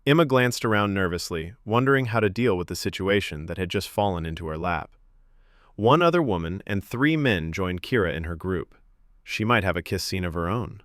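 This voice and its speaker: A man narrating a scripted story in a measured, expressive audiobook style. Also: low-pitched, no room sound, studio quality. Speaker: fiction podcast narrator.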